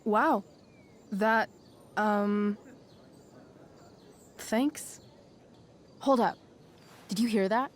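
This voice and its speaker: A woman's voice: mid-range voice, extremely slow, pauses often, recorded from a distance. A woman acting a sardonic, rebellious American teenage girl in a video game, with a dry, slangy delivery. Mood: guarded. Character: sardonic rebellious teenage girl, a punkish high-school dropout.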